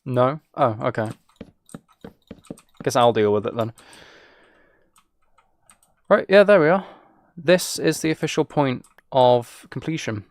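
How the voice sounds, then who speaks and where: A man's voice: medium pitch, animated, slow, pauses often, no echo. A twenty-year-old man chatting casually as he streams a game, rambling along in a joking, teasing way. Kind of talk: casual chat while playing a game.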